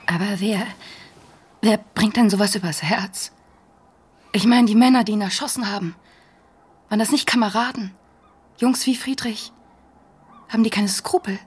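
An actress playing a soldier's young, forthright lover in an intimate radio drama, with a breathy, husky voice. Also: female voice, medium pitch, moderate pace, long pauses, natural voice. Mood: troubled.

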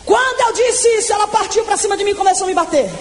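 A woman in her twenties preaching her testimony in a fervent, shouting evangelical sermon, stretching words for emphasis. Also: very high-pitched, very fast, a little hiss or hum. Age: 20s.

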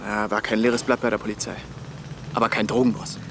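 An actor playing a wary young restaurant worker in a radio play, speaking in a hushed, guarded way. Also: male voice, lowish voice, level tone, a little fast, natural voice. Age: young adult. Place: outdoor yard corner near building work. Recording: clear outdoor street and traffic noise.

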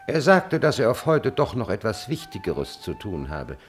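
Sly servile court chamberlain, low raspy-ish voice that swings a lot, theatrical classical drama, cunning and cold. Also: male voice, sing-song, normal speed, music in the background. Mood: dry report. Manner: insinuating, theatrical. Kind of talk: German classical stage drama acting.

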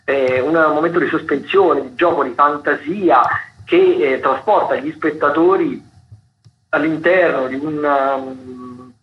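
Halting, higher-voiced male radio host. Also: dull audio. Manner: halting, rambling, self-correcting mid-sentence. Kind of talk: unscripted radio recommendations of theatre and dance events.

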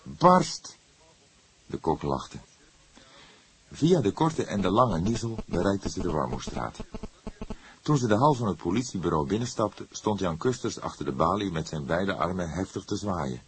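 A man's voice: sits low, big pitch swings, moderate pace, a little hiss or hum, rough recording. A man reading a crime novel as scripted audiobook narration.

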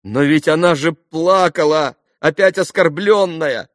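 A man voicing an earnest, gentle young man, sincere and self-reproaching, in an audiobook novel. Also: lowish voice, measured pace, no room sound, clean studio sound. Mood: distressed, guilty. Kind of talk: audiobook classic novel dialogue.

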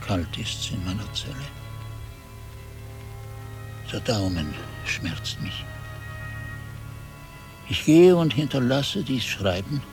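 A man's voice: medium pitch, very animated, over music. An actor playing a weary, disillusioned old monk who solemnly recounts his past in a radio drama. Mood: bleak, weary. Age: older. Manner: solemn, deliberate.

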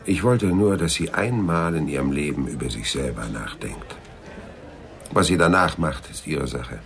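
An actor playing a shrewd, hard-boiled private detective in a radio crime drama, blunt, probing and dry. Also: male voice, very deep voice, lively intonation, moderate pace, creaky, crowd noise, exaggerated voice. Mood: grim, dry. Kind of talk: 1990s German radio crime drama acting.

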